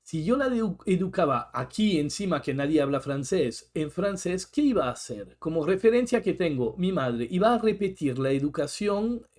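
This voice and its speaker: Guy, highish voice, thoughtful podcast co-host, restarts here and there. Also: sing-song, normal speed, few pauses, darkish tone. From French-speaking country. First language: French. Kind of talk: reflective conversation.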